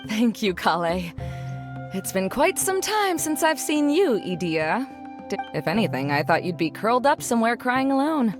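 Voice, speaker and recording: A brisk, no-nonsense scholar with a high, lively voice. She speaks formally and bluntly in anime game style, with music clearly behind her. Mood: teasing. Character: brisk, businesslike, blunt female scholar running a fairground. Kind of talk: anime video game voice acting.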